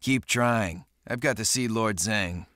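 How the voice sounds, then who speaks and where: An actor playing a cocky young pirate captain in a video game, with a dry, wisecracking delivery. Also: male voice, on the low side, takes it slowly, fairly bright tone, no echo. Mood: dry, unimpressed. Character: Kai, cocky young male pirate captain, dryly sardonic. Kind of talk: video game cutscene acting.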